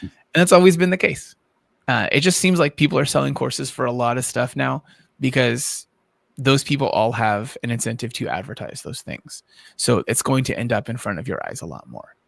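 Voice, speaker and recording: Gravelly man explaining at length. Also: mid-range voice, normal speed, dry recording, clean studio sound. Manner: explanatory, long-winded, qualifying. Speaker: livestream host. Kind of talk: casual livestream discussion.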